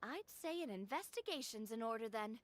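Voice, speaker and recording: A woman's voice: on the high side, lively intonation, brisk, background noise, close to an everyday voice. A woman voicing an inquisitive young girl adventurer in an anime game. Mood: curious. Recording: music behind the voice.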